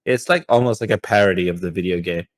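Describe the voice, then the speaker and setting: A man's voice: sits low, quick pace. A young man chatting casually as a podcast host, often repeating words and using fillers as he goes.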